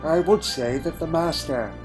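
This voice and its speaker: A man playing a wistful alien time traveller in a dramatic audio drama, speaking haltingly and reflectively in short phrases. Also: fairly high pitch, natural voice. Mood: nostalgic. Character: wistful male alien time traveller recalling a lonely childhood. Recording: music under the voice.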